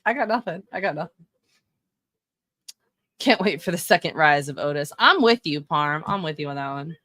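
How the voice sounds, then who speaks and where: Texan woman in her 30s doing a live stream, talky and opinionated, kinda low voice, dry close sound. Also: animated, normal speed, fairly bright tone, dry recording. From Texas. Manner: chatty, opinionated, self-correcting. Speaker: streamer reviewing wrestling fashion. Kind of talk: casual live-stream commentary.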